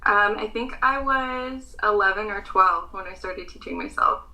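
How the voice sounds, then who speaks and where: A woman's voice: mid-range voice, normal speed, sharp tone, rough recording. A young American woman in her twenties with an American accent, a pageant contestant answering interview questions earnestly, with frequent ums.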